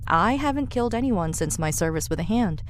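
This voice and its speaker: A woman's voice: medium pitch, lively intonation, a little fast, a little hiss or hum, close to an everyday voice. A woman playing a poised, devoted assistant in an audio drama, speaking formally and precisely with dry deference. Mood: composed, deferential. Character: poised, devoted female assistant, formerly a pirate.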